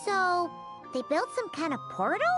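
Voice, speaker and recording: A woman's voice: extremely high pitch, normal speed, over music. A woman voicing a small, sweet, easily confused childlike fairy companion in a video game, talking simply and expressively. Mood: puzzled. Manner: speaks of herself in third person, simple and expressive.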